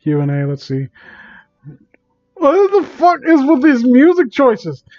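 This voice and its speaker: A man doing a casual livestream reading and commentary, exasperated, sarcastic and sweary, with a halting delivery. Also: very high-pitched, wildly swooping pitch, highs cut off. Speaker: live streamer reading and mocking online fan fiction.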